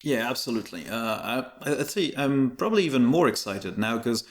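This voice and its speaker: A man's voice: medium pitch, animated, brisk. A man whose first language is French, chatting casually and in easygoing detail as an interview guest. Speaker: interview guest, tech YouTuber.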